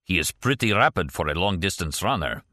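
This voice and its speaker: A man's voice: deep voice, expressive, a little fast, bright, forceful tone, no room sound, studio quality, full character voice. A man voicing an older Russian defector father in an audiobook, with a Russian accent and formal, carefully precise wording. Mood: matter-of-fact.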